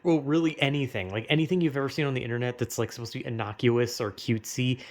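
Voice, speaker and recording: A man's voice: mid-range voice, quick pace. Rambling, casual young American man. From United States. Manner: rambling, slangy, heavily profane. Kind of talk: casual rambling opinion commentary.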